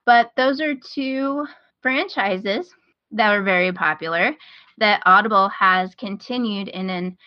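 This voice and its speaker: A woman's voice: medium pitch, unhurried, no echo. Woman podcast host, slightly dull audio. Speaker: podcast host giving recommendations. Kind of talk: casual podcast chat.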